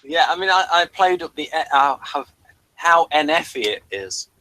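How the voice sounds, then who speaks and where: A man's voice: a little high, lively intonation, measured pace, bright, forceful tone, slight background noise, poor audio. A man co-hosting a casual review chat. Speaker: podcast co-host reviewing TV episodes.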